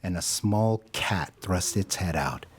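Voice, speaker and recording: A man narrating an audio drama in a measured, deliberate storytelling style. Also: low-pitched, a little hiss or hum.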